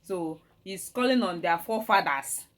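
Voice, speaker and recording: A woman with a Nigerian Igbo accent, a native Igbo speaker, teaching a language lesson deliberately and repeating terms for learners. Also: on the low side. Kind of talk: instructional lesson with repeated vocabulary.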